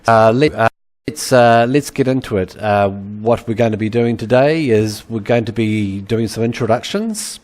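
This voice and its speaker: Middle-aged New Zealand man, lecturer, low voice, halting, fillers throughout. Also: a little mellow. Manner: halting, many uhs and ums. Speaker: university lecturer. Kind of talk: webinar lecture.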